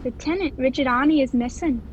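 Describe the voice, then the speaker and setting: On muffled call audio, a woman plays a chatty, folksy train passenger in a radio play. Her voice is high, with faint noise around it. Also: fairly quick, close to an everyday voice. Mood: chatty, intrigued. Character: folksy train passenger reading the newspaper aloud. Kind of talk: old-time radio play acting. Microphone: video call. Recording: dull, noisy video call audio.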